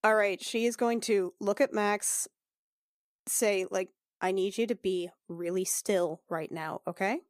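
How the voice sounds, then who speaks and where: A woman talking casually as a tabletop game player, slipping into roleplay in a halting, wry, sweary way. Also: medium pitch, steady tone, no echo, clean studio sound.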